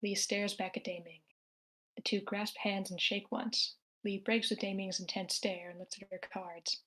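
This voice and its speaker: A woman's voice: mid-range voice, fairly even intonation, a little slow, fairly bright tone, poor audio. A woman reading out scripted stage directions as the narrator at a screenplay table read.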